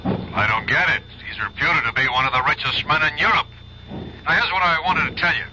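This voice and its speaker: An actor playing a brisk, authoritative chief of detectives in a 1970s radio drama. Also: male voice, a little high, pushed and bright. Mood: brisk, businesslike. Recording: dull, faintly hissy 1970s radio recording.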